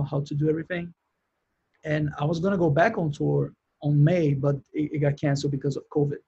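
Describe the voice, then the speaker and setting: Husky-voiced man with a Brazilian accent. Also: a little high, a little mellow, highs cut off. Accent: Brazilian Portuguese. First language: Portuguese. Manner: rambling, many restarts and fillers. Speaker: sound engineer guest. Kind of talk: casual interview chat.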